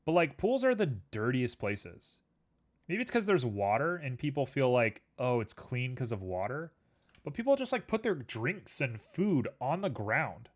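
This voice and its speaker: A young man talks in a loose, rambling way while he works on a 3D model on a live stream. His voice sits at a middle pitch, and he keeps up an easygoing running commentary, speaking both to his viewers and to the objects on screen. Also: very animated, a little slow.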